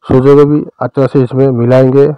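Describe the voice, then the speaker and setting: A man with an Indian accent narrating a recipe step by step as a cooking host, in a smooth, clear voice. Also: mid-range voice, level tone, fairly quick, dark tone, no echo, highs cut off.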